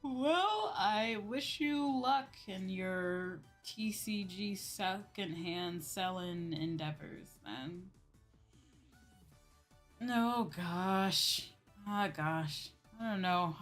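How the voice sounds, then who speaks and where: A young adult woman in a casual livestream chat, talking as a streamer to viewers in an animated, exclamatory way with acted-out asides. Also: lowish voice, slow pace. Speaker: VTuber streamer chatting with viewers. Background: background music.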